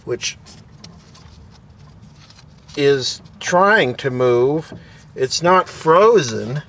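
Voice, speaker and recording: Guy talking slow while fixing stuff, normal pitch, kinda halting, just thinking out loud. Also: lively intonation. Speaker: host, vintage radio repairer. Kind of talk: casual off-the-cuff repair narration. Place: beside an old console radio being worked on.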